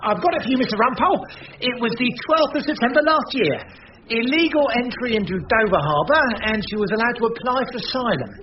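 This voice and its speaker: An eager, grateful official with a remarkably high male voice. He is chirpy and helpful in radio drama style, heard from a little distance. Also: expressive, quick pace, noisy background. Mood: pleased, helpful. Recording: muffled, distant radio recording.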